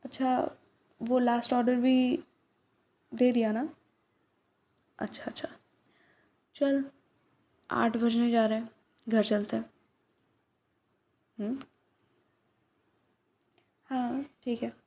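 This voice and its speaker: A woman in a soft-spoken roleplay, playing a young flower shop owner who teases gently and drops into breathy whispers. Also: on the high side, fairly even intonation, takes it slowly, pauses often. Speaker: voice actress in a listener roleplay. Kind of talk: ASMR roleplay, one side of a conversation.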